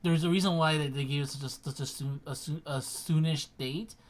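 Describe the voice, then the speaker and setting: A mid-pitched voice chats informally about video games. The speaker is a stream co-host who talks in a halting way, often repeating words while working toward the point. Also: steady tone. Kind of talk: casual gaming chat.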